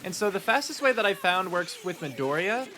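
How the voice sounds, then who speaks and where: A man with a stuffy nose giving relaxed, rambling commentary on his speedrun, with lots of ums. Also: high voice, a little fast. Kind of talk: casual post-run gameplay commentary.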